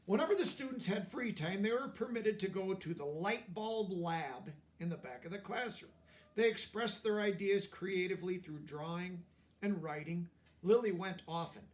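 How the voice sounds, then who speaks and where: A man's voice: high-pitched, expressive, moderate pace, distant mic. A man reading a picture book aloud expressively, with explanatory asides for young listeners.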